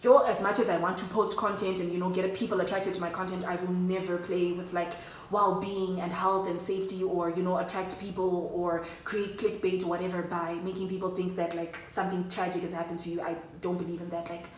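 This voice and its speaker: A woman's voice: lowish voice, little pitch movement, brisk, roomy sound, distant mic, slight background noise, poor audio. A young woman telling a story in a chatty, slangy way, talking straight to her viewers. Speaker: vlogger. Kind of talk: casual storytime chat to camera.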